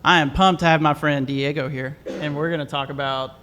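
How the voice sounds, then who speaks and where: A male host opens a casual interview in a relaxed, rambling way. His voice sits fairly high, and his sentences often trail off softly. Long reverb hangs around him. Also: brisk, slight background noise.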